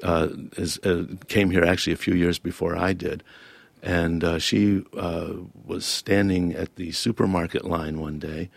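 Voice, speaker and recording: A man's voice: low voice, little pitch movement, measured pace, vocal fry, no room sound. A man, born in Lebanon and raised in Ohio, reading his personal essay and then answering interview questions in a thoughtful, wry, self-questioning way. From Lebanon-born, raised in Toledo, Ohio. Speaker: author and interview guest.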